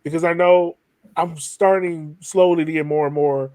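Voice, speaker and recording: Higher-voiced man hosting casually. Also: steady tone, normal speed, a little mellow, no room sound. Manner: informal, enthusiastic. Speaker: podcast host. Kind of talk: casual chat.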